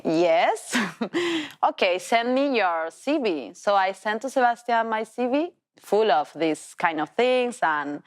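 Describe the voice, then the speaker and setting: A woman in her thirties with an Argentine accent, a Spanish speaker telling her story as a tango teacher in halting English with many restarts. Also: mid-range voice. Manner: non-native English, halting restarts, laughs while telling. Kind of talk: personal storytelling.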